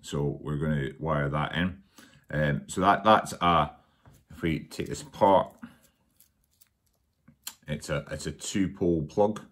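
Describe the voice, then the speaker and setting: Guy w really really deep voice doing a diy tutorial at home, kinda halting n matter of fact. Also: fairly bright tone. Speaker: DIY workshop presenter. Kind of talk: informal hands-on electronics tutorial. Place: indoors at a dining table at home.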